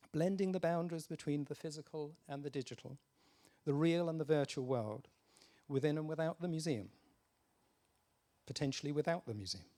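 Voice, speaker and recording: A man with a fairly high voice gives a formal conference address. He phrases things deliberately and rhetorically, in the measured way of an academic reading prepared remarks. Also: a little mellow. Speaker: academic host introducing a conference. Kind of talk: prepared conference opening speech.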